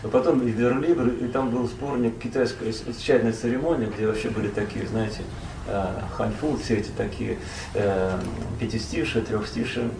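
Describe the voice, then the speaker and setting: A man from Russia, a poet and translator, recalls his past as a guest in a relaxed, rambling conversation full of fillers and self-corrections. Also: medium pitch, normal speed, creaky, echoey room, recorded from a distance, noisy background.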